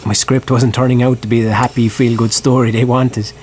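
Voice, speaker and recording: A man's voice: mid-range voice, flat delivery, a little fast, a little mellow, a little hiss or hum, close to an everyday voice. An actor playing a reflective screenwriter who narrates his script in an earnest, confessional first person for an audio drama. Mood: confiding.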